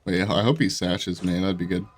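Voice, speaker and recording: A man's voice: low voice, fairly even intonation, moderate pace, a little hiss or hum. A young man with a stuffy, congested voice and a sore throat, chatting casually as he plays and comments on a game, rambling and restarting his sentences. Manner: rambling, self-interrupting, laughs often. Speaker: gaming YouTuber. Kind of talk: casual commentary while playing.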